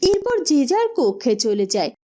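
A woman's voice: sits high, big pitch swings, normal speed, mellow. A woman reading scripted narration for a fairytale cartoon story.

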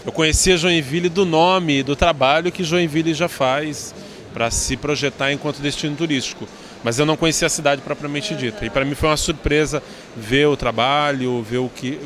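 A middle-aged man with a Brazilian accent, giving measured, formal interview answers and searching for his words. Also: a little high, fairly even intonation, fairly bright tone. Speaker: tourism board director, interview guest. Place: busy indoor trade fair booth. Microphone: handheld interview microphone. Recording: clear background noise at trade fair.

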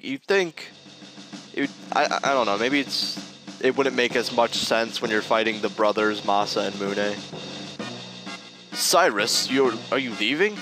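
A man giving joking, rambling commentary while playing a video game. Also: medium pitch. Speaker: let's play host. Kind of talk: casual gaming commentary. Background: game music.

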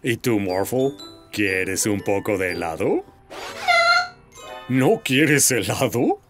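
Mild cartoon grown-up, hugely varied pitch, slow exaggerated delivery, loud music behind. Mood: gently concerned. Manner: exaggerated, animated. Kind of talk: children's cartoon voice acting.

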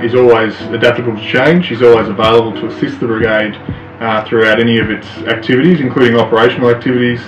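A middle-aged man, officer in charge of a fire station, giving a formal, steady testimonial to camera in a small office. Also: on the low side, slight background noise. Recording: roomy, distant, dull audio.

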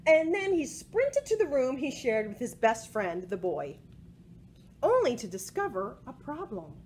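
A woman in her forties reading a picture book aloud to children in an expressive way, with asides about the pictures. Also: high voice, a little slow. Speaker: library storytime presenter. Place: small indoor room with bookshelves. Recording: home recording with faint background noise.

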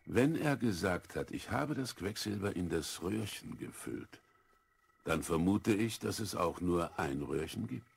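An actor playing a wise, patient old philosopher in a children's radio play, with a husky, gravelly voice and a measured, thoughtful manner. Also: male voice, low-pitched, lively intonation, full character voice. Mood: thoughtful, kindly. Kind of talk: 1970s German children's radio play acting.

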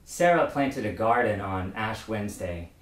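A middle-aged man, a pastor, delivers a prepared sermon in a measured, reflective way. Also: on the low side, lively intonation. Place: book-lined room indoors. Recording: roomy echo, distant microphone.

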